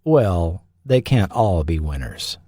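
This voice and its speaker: A man hosting and narrating a scripted documentary podcast, with a measured delivery and dramatic pauses. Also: deep voice, very animated, warm tone, no room sound, studio quality.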